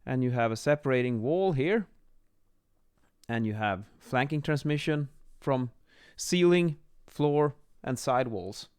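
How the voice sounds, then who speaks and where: A man in his thirties explaining as an instructor in an informal lesson, a little halting as they think aloud, recorded on a close microphone in a small room. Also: medium pitch, expressive, darkish tone, smooth. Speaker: acoustics instructor, presenter. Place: small home office, indoors. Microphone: microphone on boom arm, close.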